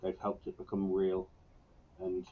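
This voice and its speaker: Male student guest, reflective and earnest, lowish even voice, muffled lo-fi audio. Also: unhurried, slight background noise. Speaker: guest, university student in creative business. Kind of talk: personal conversational interview answers. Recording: dull, lo-fi online call audio.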